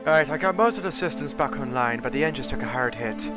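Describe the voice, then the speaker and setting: A man's voice: mid-range voice, fast talker, music in the background, close to an everyday voice. A man voicing a cranky, sarcastic small reptilian alien spaceship crewman in a comic dub. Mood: matter-of-fact. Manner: sarcastic, excitable. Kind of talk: comic dub character acting.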